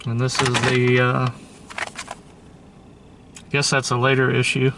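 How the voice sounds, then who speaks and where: A man's voice: mid-range voice, monotone, a little slow, vocal fry, heavy background noise. A man giving a casual, informal show-and-tell commentary, musing aloud and offering his opinions. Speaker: host showing a thrift store tape collection.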